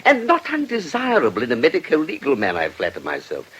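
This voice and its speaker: A man's voice: a little high, wildly swooping pitch, a little fast, fairly bright tone, husky, highs cut off, full character voice. A man playing a genial, erudite medico-legal investigator with a British accent, precise and drily amused, in a radio drama. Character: urbane, genial male medico-legal investigator, self-satisfied.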